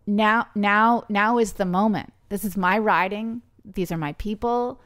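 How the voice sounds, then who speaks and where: A woman's voice: medium pitch, measured pace, a bit bright. Earnest woman thinking aloud. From British Columbia, Canada. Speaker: podcast guest. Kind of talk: conversational interview.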